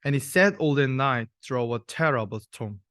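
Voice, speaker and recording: A man's voice: medium pitch, lively intonation, normal speed, a bit bright, no room sound, clean studio sound. A young man, a student, reading a rhyming children's story aloud. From South Korea. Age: young adult. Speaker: university student reader.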